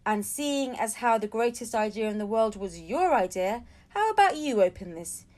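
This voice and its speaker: A woman's voice: on the high side, expressive, normal speed, a little mellow, natural voice. A courteous male lieutenant of the royal guard from a children's storybook, voiced by a woman. He speaks in a formal, ceremonious way. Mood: proud, kindly. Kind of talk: acted dialogue in a children's storybook.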